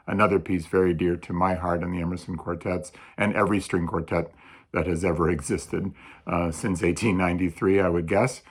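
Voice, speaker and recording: A man's voice: low voice, fairly bright tone, breathy edge. A man hosting a concert, introducing the music informally with hesitant, rambling asides. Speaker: concert host.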